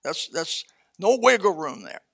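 A man's voice: a little high, sing-song, a little slow, gravelly, fairly bright tone. A seventy-year-old man preaching a casual, blunt sermon, reading scripture and talking plainly to his congregation. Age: 70s. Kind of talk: casual sermon, Bible exposition.

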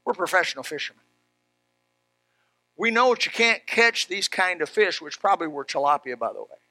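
Guy preaching a sermon, folksy and emphatic, high sharp voice, pretty dry sound. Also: lively intonation, fairly quick, dry recording, rough recording. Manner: folksy, emphatic, exclaiming and repeating phrases. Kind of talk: animated Bible sermon with personal anecdotes.

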